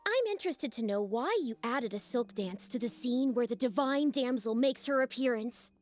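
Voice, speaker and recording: A woman's voice: a little high, lively intonation, moderate pace, lightly acted. A woman playing a starstruck, flustered young journalist in a video game, stammering with enthusiasm. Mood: excited, flustered.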